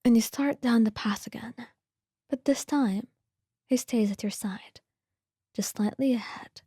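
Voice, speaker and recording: Whispering woman, slow ASMR storyteller. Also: a little high, dry recording, studio quality. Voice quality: soft, breathy whisper. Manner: slow, gentle, hushed storytelling. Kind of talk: whispered ASMR scripted narration.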